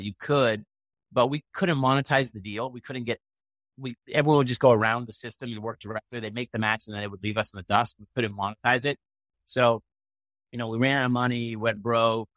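A man's voice: on the low side, fairly bright tone, no echo. A middle-aged American man from Maine telling his story casually as an interview guest, in a blunt, colloquial way. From Maine, United States. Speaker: interview guest, tech entrepreneur.

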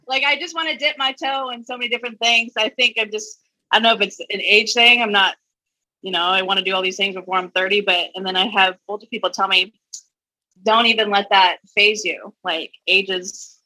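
A woman in her twenties, a singer chatting as a guest, upbeat and quick to laugh. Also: medium pitch, steady tone, sharp tone, no echo, low-quality recording. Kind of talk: casual conversation.

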